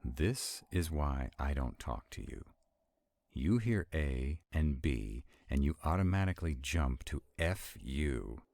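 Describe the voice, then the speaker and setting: A man's voice: a bass voice, animated, takes it slowly, creaky, darkish tone, dry recording, heavily acted. A man playing an arrogant, skeptical and protective vampire in a roleplay audio drama, haughty and commanding. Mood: irritated, haughty. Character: arrogant, skeptical, protective male vampire, irritable under strain.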